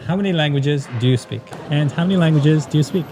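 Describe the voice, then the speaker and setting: A Canadian man asking strangers quick questions in a casual street interview. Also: a little high. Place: outdoors on a city street. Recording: noisy city street.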